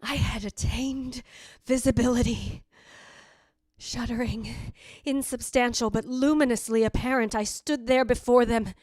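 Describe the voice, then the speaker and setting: A woman's voice: fairly high pitch, fairly even intonation, a little mellow, no room sound, natural voice. A woman playing the jealous, anguished ghost of a dead wife, narrating in a literary, theatrical old-time radio drama style. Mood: intense, dread. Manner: literary, theatrical, deliberate delivery.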